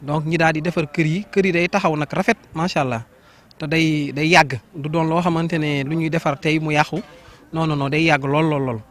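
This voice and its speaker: A man with a fairly high-pitched voice answers interview questions as the local host of a building project. He speaks steadily and with emphasis. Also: fairly even intonation, a little fast, fairly bright tone.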